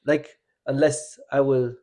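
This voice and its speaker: A man from Switzerland hosting a podcast, talking in a reflective, halting way with many restarts. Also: medium pitch, level tone, mellow. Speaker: podcast host. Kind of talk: casual personal conversation.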